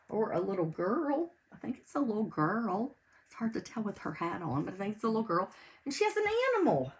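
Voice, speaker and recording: Slow, lively woman reading to preschoolers. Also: mid-range voice. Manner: sing-song, simple explanations with questions to children. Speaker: preschool teacher reading a picture book to pupils. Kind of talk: read-aloud storytime for young children. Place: indoors at home.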